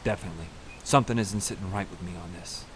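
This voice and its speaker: A man acting a gruff, no-nonsense city police officer in a radio play. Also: sits low, fast talker, a little hiss or hum, lightly acted, low-quality recording. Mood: suspicious, businesslike.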